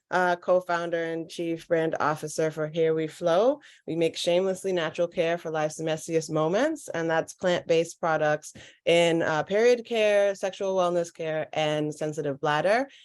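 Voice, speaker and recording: An American woman, a co-founder, introducing herself in a measured, slightly hesitant way. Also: on the low side, steady tone, a little mellow, clean tone. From Boston, USA. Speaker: co-founder and brand officer. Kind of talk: panel introduction.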